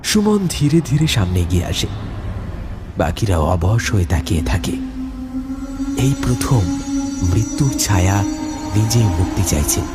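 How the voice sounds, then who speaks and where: Male narrator for dramatic horror audio tale: fairly low, lively intonation, over loud music. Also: moderate pace, pauses often.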